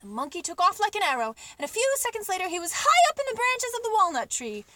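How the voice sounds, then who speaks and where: Animated woman storyteller with a high voice. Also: quick pace, no room sound. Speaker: storyteller reading a children's book aloud. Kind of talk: expressive scripted narration.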